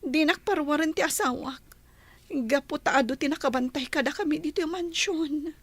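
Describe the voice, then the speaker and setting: Woman as anxious wife, Ilocano accent, high-pitched, close dry sound, radio drama. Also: normal speed, no room sound. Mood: anxious, confiding.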